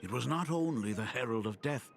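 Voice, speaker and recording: An actor playing a gentle, fatherly dean with a formal, tender manner in a dramatic game story. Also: mid-range voice, expressive, brisk, gravelly, soft background music. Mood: wistful, regretful. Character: gentle, wistful, fatherly older academy dean, regretful.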